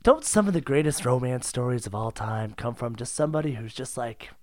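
A man co-hosting a comedy podcast, casually telling stories in a giggly, excitable, protesting manner. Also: medium pitch, a little fast. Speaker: podcast co-host.

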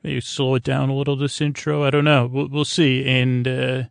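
Rambling, creaky-voiced male bedtime podcast host. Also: mid-range voice, monotone, no room sound, studio quality. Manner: meandering, tangent-prone, halting and stammering; languid, drawn-out delivery meant to lull.

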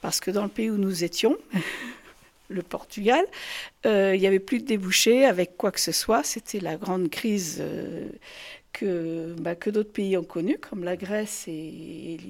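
A woman's voice: medium pitch, unhurried. A woman from France explaining her craft as an interview guest, hesitating and repeating words as she goes. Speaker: puppet maker and artist, interview guest. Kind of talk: casual interview.

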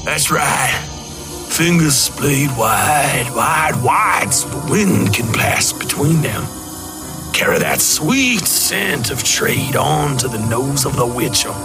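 A man playing a fervent, hammy revivalist preacher in a parody gospel sermon for an audio drama, drawing out exhortations theatrically. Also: mid-range voice. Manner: theatrical drawn-out exhortation with amens.

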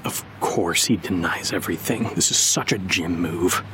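An actor playing a sarcastic, wisecracking friend in an audio drama, with a teasing, naturalistic delivery. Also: male voice, low voice, moderate pace, noisy background. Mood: sardonic. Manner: sardonic, joking, teasing.

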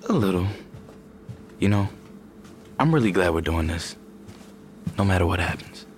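Placating husband, lively intonation. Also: male voice, deep voice, moderate pace, a little hiss or hum. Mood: reassuring. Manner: casual, placating. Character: easygoing, reassuring husband and writer. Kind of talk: naturalistic audio fiction acting.

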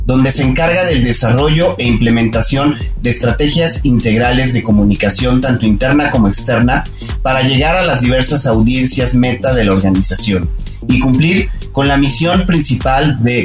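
A man co-hosting a podcast, reading introductions in a formal, announcer-like way. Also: mid-range voice. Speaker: podcast co-host. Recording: echoey room with a music bed.

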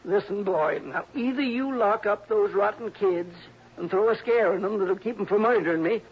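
High-voiced cranky old miser. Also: male voice, moderate pace. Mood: blustering, demanding. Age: older. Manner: blustering, badgering, querulous. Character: cranky, stingy, suspicious old uncle fearing murder. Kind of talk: old-time radio drama acting. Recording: noisy, muffled old radio recording.

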